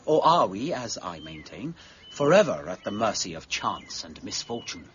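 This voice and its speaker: Earnest, lively young artist. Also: male voice, on the low side, moderate pace. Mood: questioning. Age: young adult. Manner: earnest, courteous. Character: earnest, excitable young gentleman artist, loyal friend. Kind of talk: radio drama acting. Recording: dull lo-fi audio with faint noise.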